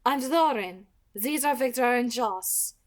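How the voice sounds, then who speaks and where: Storybook role: cordial, inquisitive woman leading a vampire pack, pitched up, voiced by a female reader. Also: lively intonation, unhurried. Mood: friendly, curious. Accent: French. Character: friendly, curious female vampire pack leader.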